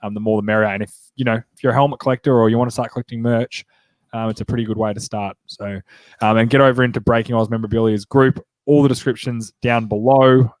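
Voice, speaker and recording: An Australian man in his thirties hosts a relaxed sports chat, his voice stuffy and nasal from a cold. He talks in a chatty, upbeat way, full of quick asides. The sound is dry and close, with no room in it. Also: mid-range voice, no echo, poor audio. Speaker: podcast host.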